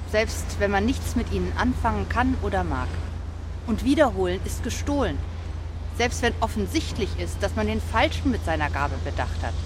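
Satirical radio drama: actress as doctrinaire female press officer, officious and measured, outdoor traffic noise behind. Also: medium pitch, natural voice. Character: smug, doctrinaire female police spokeswoman. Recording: noisy outdoor street recording, traffic.

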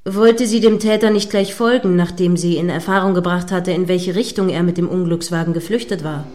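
A woman's voice: fairly low pitch, steady tone, a little mellow, natural voice. Woman acting as formal, earnest radio news presenter. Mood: inquiring. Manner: formal, measured, wordy phrasing. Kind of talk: satirical radio play as live news broadcast.

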